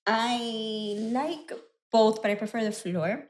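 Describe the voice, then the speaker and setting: A woman's voice: fairly high pitch, slow. Young Miami woman with Cuban-American lilt; laid-back podcast guest, giggly, brief replies. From Miami, Cuban family. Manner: relaxed, laughs often, short answers. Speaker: guest, adult performer. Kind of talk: casual interview chat.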